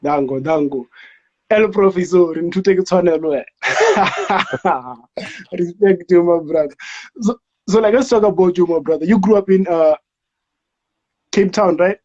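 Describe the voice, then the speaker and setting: High, mellow-voiced host. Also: male voice, expressive, unhurried, pauses often, muffled recording. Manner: slangy, hyped-up, says bro and like. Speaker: interview host. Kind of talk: casual interview.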